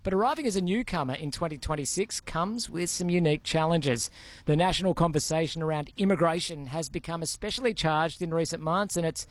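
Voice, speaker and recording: A man's voice: fairly high pitch, a little fast, no room sound. A man presenting a live radio broadcast, reading scripted links and interviewing a guest. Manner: smooth, scripted broadcaster delivery. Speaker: radio host.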